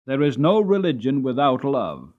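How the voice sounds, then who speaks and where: A man's voice: mid-range voice, very animated, moderate pace, darkish tone, highs cut off, natural voice. A man voicing a loyal, plain-spoken Victorian coachman in an audiobook, earnest, emphatic and respectful. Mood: earnest, fervent. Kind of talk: dramatic audiobook character reading.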